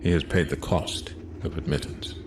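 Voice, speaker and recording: A man narrating a scripted fantasy audio drama in a measured, solemn way, over faint noise and ambience. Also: extremely low pitch, fairly even intonation.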